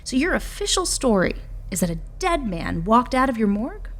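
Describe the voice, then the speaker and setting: A woman's voice: on the high side, very animated, moderate pace. An actress playing a skeptical policewoman who questions coolly and pointedly in an audio drama. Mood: probing, skeptical.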